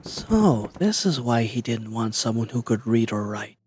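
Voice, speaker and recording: A man playing a curious, bookish young adventurer with an inquisitive, expressive delivery in a fantasy audio roleplay. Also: mid-range voice, unhurried, slight background noise.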